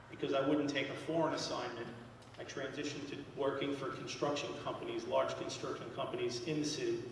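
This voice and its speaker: A man, giving a loud, plain-spoken talk as an engineer at a town hall podium. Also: medium pitch, a little hiss or hum. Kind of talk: public presentation at a podium. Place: large school auditorium. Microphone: podium microphone. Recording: echoey hall, heard from far off.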